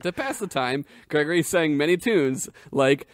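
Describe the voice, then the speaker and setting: A man's voice: a little high, lively intonation, normal speed. A man hosting a comedy podcast, telling comic fantasy stories in a crude way and often laughing. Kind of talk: comic fantasy storytelling with banter.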